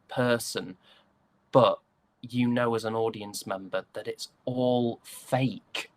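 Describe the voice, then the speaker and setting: A man from the UK with a low voice talks in a reflective interview style, as an actor discussing his craft. He is thoughtful and halting, often pausing to find the next phrase. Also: fairly bright tone.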